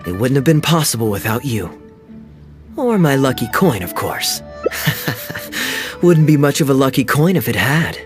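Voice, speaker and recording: An actor playing a sly, charming young knight and playful schemer in a video game cutscene, speaking in a smooth, teasing, knowing way. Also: male voice, medium pitch, very animated, a little slow, long pauses. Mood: amused. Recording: faint game music behind.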